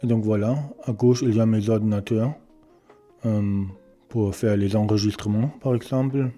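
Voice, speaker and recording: A German man speaks French with a German accent, slow and hesitant, often stopping to search for words. His voice is dark, low and rather flat. Faint music plays softly behind him. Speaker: electronics repair beginner presenting his channel. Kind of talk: casual self-introduction and workshop tour. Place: home repair workshop.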